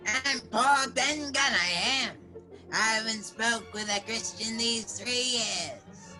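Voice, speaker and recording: A bright, forceful castaway sailor acted by a woman rambles slowly in rustic seafaring dialect, repeating himself eagerly. It is radio play acting over music. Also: fairly high pitch, full character voice. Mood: wistful, eager. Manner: rambling, repeats words, exclaiming. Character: marooned half-wild castaway sailor man, eager and rambling.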